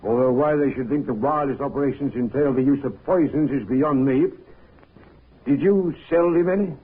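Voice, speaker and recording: An actor playing a brisk, authoritative police inspector, formal and dryly courteous, in a 1950s radio drama. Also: male voice, medium pitch, breathy edge, close to an everyday voice. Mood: dry, official. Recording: tinny, noisy 1950s radio recording.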